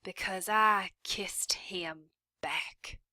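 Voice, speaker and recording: A desperate young schoolteacher voiced by a woman reading aloud pleads in a raised, high voice. Her words come bright and forceful, recorded close and dry. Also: fairly even intonation, very slow, dry recording, heavily acted. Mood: defiant. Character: frantic young female schoolteacher.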